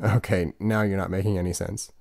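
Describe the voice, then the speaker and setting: A man's voice: sits low, little pitch movement, fairly quick, gravelly, darkish tone, dry recording, clean studio sound, voice pushed down. A man in his twenties playing a cynical, exasperated young atheist in an audiobook dialogue, casual and sarcastic.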